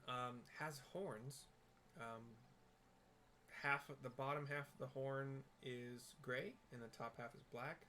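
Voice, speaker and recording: A man's voice: mid-range voice, a bit bright, slight background noise. A man casually narrates a game for blind viewers, describing what is on screen with frequent ums and restarts. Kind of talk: casual audio description over gameplay.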